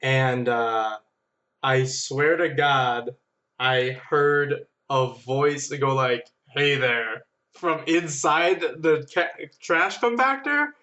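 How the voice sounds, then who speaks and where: A man chatting and telling stories casually as a podcast guest, animated, joking and self-deprecating. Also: medium pitch, very slow, dull audio. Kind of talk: casual chat and storytelling.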